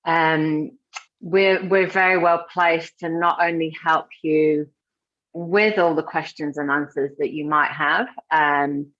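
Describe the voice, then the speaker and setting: A woman's voice: deep voice, fairly even intonation, takes it slowly, a bit bright, dull audio. A woman from the UK hosting a live webinar, chatty and full of fillers. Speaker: webinar host.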